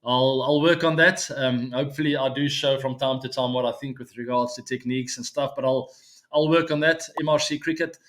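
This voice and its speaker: A man's voice: mid-range voice, fairly even intonation, brisk, fairly bright tone. A man in his forties whose first language is Afrikaans, hosting a cricket show in a casual, chatty solo talk to viewers, with frequent ums and easy laughs. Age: 40s. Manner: chatty, many ums and uhs, laughs easily.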